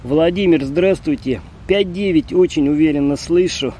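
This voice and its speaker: A man's voice: normal speed, dark tone. A man in his fifties making a friendly, casual amateur radio contact, speaking outdoors. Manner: friendly, spells call signs with phonetic words. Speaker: amateur radio operator and vlogger. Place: outdoors in a city park. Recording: outdoor park with faint rumble.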